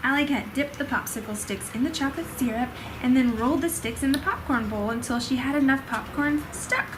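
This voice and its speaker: A woman with a slightly high voice reads a children's storybook aloud. Her delivery is expressive, pitched for a small child. Clear background noise runs behind her. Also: fairly quick.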